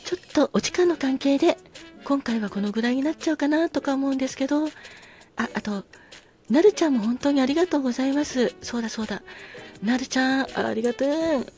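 A woman hosting a casual solo talk show, speaking politely with effusive gratitude and soft apologies. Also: high-pitched, quick pace, soft background music. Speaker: podcast host.

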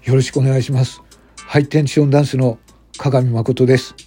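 A modest male comedian acted by a man talks politely and hesitantly in a radio drama. The voice is mellow and husky, with music underneath. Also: mid-range voice, little pitch movement, a little fast, close to an everyday voice. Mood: polite, deferential. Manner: deferential, hesitant. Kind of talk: amateur radio drama acting. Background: background music.

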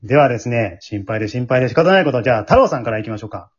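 A man's voice: mid-range voice, animated, very fast, husky, dull audio. A man with a Kansai accent hosting a casual after-show talk, conversational and often seeking agreement from his listeners. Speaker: host and scriptwriter of an amateur radio drama group.

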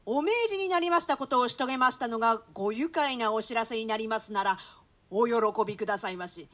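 A woman playing a rough male hired killer shaken by pity, telling it in a comic storyteller's style from a Shakespeare play. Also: a little high, quick pace, a bit bright, natural voice. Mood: deferential. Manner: coarse colloquial speech, swinging into theatrical quoted voices.

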